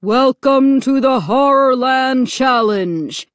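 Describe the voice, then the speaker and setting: A woman voicing a menacing monster announcer in an audiobook, booming out slow, drawn-out, thunderous words. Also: lively intonation, dry recording, clean studio sound.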